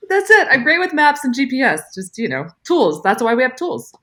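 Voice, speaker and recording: A woman's voice: high voice, very animated, a little fast, a bit bright. A woman in her forties, a guest speaking casually in a podcast interview, chatty and self-deprecating with frequent laughs. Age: 40s. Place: home room.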